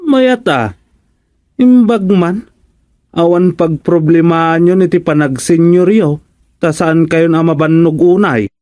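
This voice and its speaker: A man plays a well-mannered, watchful village official in an Ilocano radio comedy. His voice sits high for a man and carries an Ilocano accent. He speaks with polite concern, recorded dry and close. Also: a little slow, warm tone, dry recording, natural voice. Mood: mildly teasing. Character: polite, concerned male fellow village councilor paying a visit.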